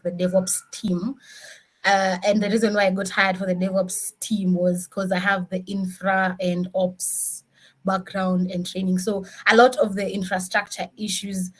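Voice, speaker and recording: A woman with a Kenyan accent giving an informal motivational career talk as a senior engineer, mixing in Swahili with animated, emphatic rhetorical questions. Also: medium pitch, rough recording. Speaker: senior DevOps engineer, guest speaker.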